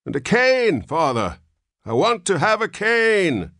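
A man voicing a querulous old man who is really a newborn, whining and shrill. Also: very animated, measured pace, a bit bright, dry recording, clean studio sound. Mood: demanding. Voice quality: whiny, shrill.

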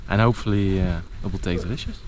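A man's voice: low-pitched, quick pace, a little mellow. A man in his thirties chatting casually to a camera outdoors. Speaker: travel vlogger. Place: outdoors in a garden. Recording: clearly noisy outdoor location sound.

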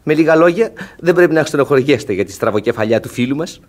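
An actor playing a sly, worldly valet in a classic Greek radio comedy, knowing and glib in a theatrical way. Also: male voice, fairly high pitch, expressive, lightly acted. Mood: knowing, reassuring. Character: sly, worldly male valet advising his master.

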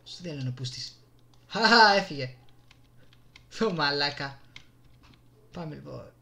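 Greek guy gaming on a desk mic, bright sharp voice, excitable, talking to himself while he plays. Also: on the high side, creaky. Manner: excitable, exclaims and mutters to himself. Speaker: gaming YouTuber. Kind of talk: casual live gameplay commentary. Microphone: desktop gaming microphone.

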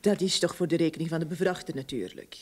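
An actress playing a brisk, formal female office secretary in an old radio drama. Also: low-pitched, a little mellow.

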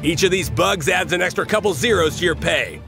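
A gruff video game mercenary leader with a gravelly, fairly high voice. He swings his pitch about, blunt and sardonic, over clear background music. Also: lively intonation, fairly quick. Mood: sardonic, scheming. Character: gruff, defiant male mercenary squad leader.

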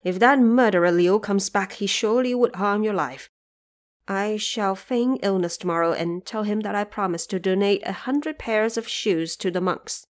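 Hushed, anxious noble mother for an audio drama, played by a woman. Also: mid-range voice, normal speed, natural voice. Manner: hushed, urgent.

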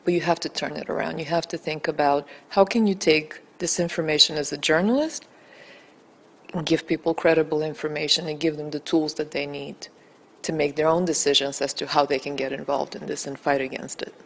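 A woman's voice: low-pitched, steady tone, fairly quick, husky, poor audio. A woman in her thirties from Bulgaria, a documentary film-maker answering interview questions in an earnest, articulate way, into a handheld mic in a small indoor room. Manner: earnest, articulate, building emphasis. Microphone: handheld mic held by interviewer.

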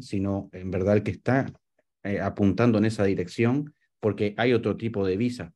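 A man's voice: lowish voice, mellow. A middle-aged man from Venezuela and Uruguay, a real estate advisor giving closing remarks as host on a webinar video call. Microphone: video call microphone.

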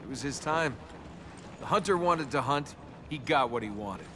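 A man's voice: medium pitch, lively intonation, normal speed, noisy background, close to an everyday voice. An American man playing a cocky, wisecracking young adventurer prince in a video game, with flippant, sardonic banter. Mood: teasing, relaxed. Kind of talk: video game cutscene acting.

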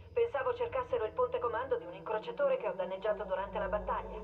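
A woman's voice: on the high side, phone-quality sound, natural voice. A woman acting as a composed, analytical military artificial intelligence in a dubbed video game, giving serious briefings. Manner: clear-headed, briefing, serious.

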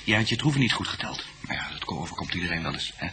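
A fast-talking, slightly testy male witness in a radio play. He is chatty, restarting now and then, over a clearly noisy old recording. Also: deep voice, big pitch swings. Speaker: actor as witness. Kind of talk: Dutch radio drama acting.

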